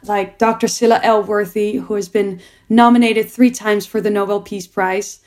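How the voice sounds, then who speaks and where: A woman's voice: medium pitch, little pitch movement, moderate pace, a little mellow. Earnest young woman producer. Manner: earnest, reflective, with frequent restarts. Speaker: producer and entrepreneur. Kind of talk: personal interview answers.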